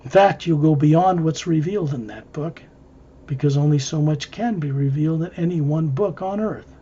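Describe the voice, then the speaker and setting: Male guided-visualization narrator, mellow and breathy, halting phrase-by-phrase delivery, muffled lo-fi sound. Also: fairly high pitch. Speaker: guided meditation leader.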